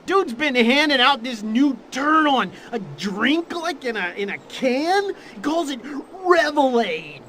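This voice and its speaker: High-voiced, jittery hippie stoner. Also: male voice, big pitch swings, takes it slowly, hardly any pauses, a bit bright, a little hiss or hum, heavily acted. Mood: laid-back, gossipy. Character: nervous, mellow, gossipy male hippie stoner informant. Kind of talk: video game cutscene voice acting.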